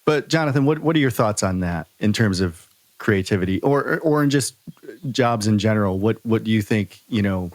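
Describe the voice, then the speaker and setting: Male podcast host, lowish pitch, dry close sound, casual, earnest, a little halting. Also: expressive, no room sound. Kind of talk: casual conversational discussion.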